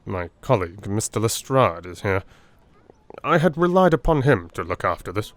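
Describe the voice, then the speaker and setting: A man's voice: on the low side, big pitch swings, normal speed. Deferential yet self-satisfied Victorian police detective played by a male reader, eager, for audiobook drama. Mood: evasive.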